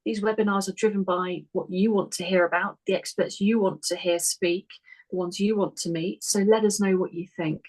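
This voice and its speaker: A woman's voice: medium pitch, fairly even intonation, normal speed, a little mellow, rough recording. A woman with a mid-range voice hosts a webinar. She talks in a friendly, chatty way as she welcomes the audience. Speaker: webinar host. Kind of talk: hosting a webinar.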